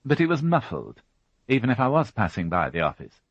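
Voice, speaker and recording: A man's voice: mid-range voice, huge pitch swings, fairly quick, no room sound, pitched above the performer's own voice. A man voicing a fussy, prim middle-aged housewife who chatters and turns indignant, in a storybook reading. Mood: chatty. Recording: dull, dry studio audiobook.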